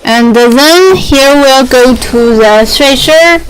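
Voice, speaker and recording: A young woman whose first language is Chinese, explaining factory machinery in halting English with many fillers and restarts. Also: sits high, slight background noise, poor audio.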